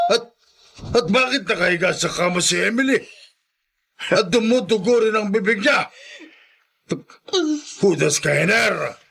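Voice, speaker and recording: A man's voice: high-pitched, vocal fry, a bit bright, breathy edge, full character voice. An actor playing a stubborn, domineering old father in a Filipino radio drama, indignant and accusing.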